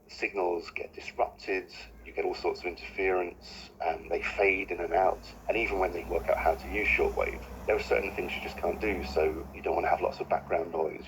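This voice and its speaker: A man's voice: low-pitched, slight background noise, rough recording. A man giving an informal explanatory talk as an expert guest.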